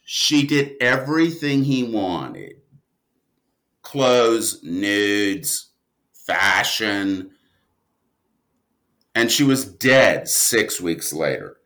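Low-pitched, expressive man in relaxed interview. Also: drawn-out words, long pauses. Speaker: guest, audio drama writer and producer. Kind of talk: conversational interview storytelling.

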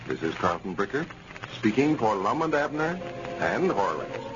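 A man working as a radio announcer, reading a scripted sponsor pitch in 1930s broadcast style. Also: sing-song, normal speed, over music. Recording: scratchy, muffled 1930s radio recording.

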